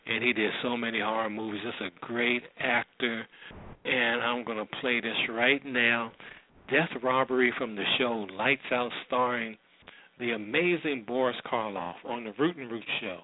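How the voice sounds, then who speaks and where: A man's voice: medium pitch, fairly even intonation, moderate pace. A man hosting a radio show with casual, enthusiastic, rambling chat. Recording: dry, narrow-band, lo-fi radio sound.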